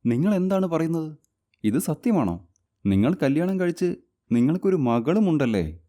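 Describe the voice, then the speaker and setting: A man's voice: fairly high pitch, very animated, moderate pace, warm tone. A man doing an earnest office suitor. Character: office man, persistent suitor, later a stepfather.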